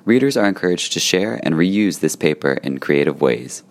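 A man with an extremely deep voice reads a scripted promo for the podcast. His delivery stays smooth and even, with the steady pace of copy read from a page. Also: moderate pace. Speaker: podcast host reading a promo.

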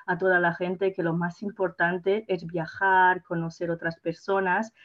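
Portuguese woman, lowish voice, slightly dull recording. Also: little pitch movement, unhurried. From Portugal, born in Mozambique. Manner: effusive, searching for words. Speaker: podcast guest, hotel PR and social media director. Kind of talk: conversational interview answers.

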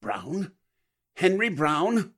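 A man playing a well-mannered, apologetic gentleman in 1940s radio drama style. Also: high voice, very animated, drawn-out words, breathy edge, exaggerated voice. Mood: coy, hesitant. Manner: mild, polite, apologetic. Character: diffident, well-mannered gentleman, coyly informative.

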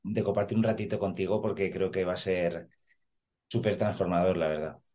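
A man's voice: low-pitched, fairly even intonation, a little fast, mellow. A man in his forties from Madrid, a podcast guest speaking earnestly over a video call, hesitating often with fillers and repeated words. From Madrid, Spain. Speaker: podcast guest, police officer and emotional coach. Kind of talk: casual conversational interview.

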